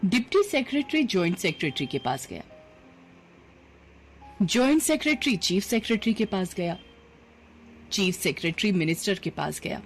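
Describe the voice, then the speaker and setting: A woman narrating a scripted story in an expressive storytelling manner. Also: mid-range voice, normal speed, long pauses, faint music behind, rough recording.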